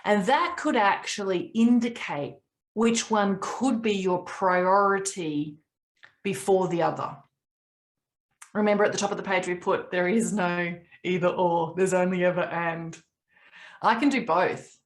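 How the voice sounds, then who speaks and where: A woman in her late forties, raised in Papua New Guinea, coaching a group on a video call in an earnest, reflective way with long pauses. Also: fairly low pitch.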